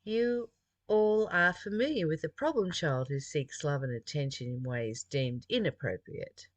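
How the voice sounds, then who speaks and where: A speaker from Australia reading a spiritual text aloud and adding personal reflections in a deliberate, earnest manner. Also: mid-range voice, dry recording.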